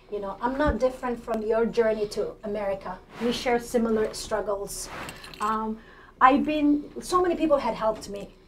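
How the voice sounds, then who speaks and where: Somali-accented woman, forties, higher register, candidate in conversational interview, some background noise. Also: poor audio. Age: 40s. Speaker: city council candidate, interview guest. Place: indoor TV studio at a desk.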